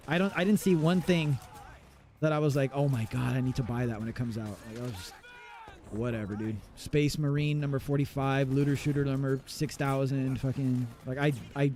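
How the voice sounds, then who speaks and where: A man's voice: medium pitch, a little mellow, a little hiss or hum. A man in his thirties streaming a game live, chatting casually and swearing while he plays. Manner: casual, profane, rambling. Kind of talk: live gameplay commentary.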